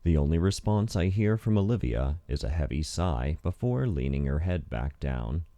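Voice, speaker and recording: A man's voice: rumbling low, very animated, moderate pace, dark tone. A man reading scripted first-person narration for a visual novel in an introspective, wry manner. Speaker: narrator and voice actor.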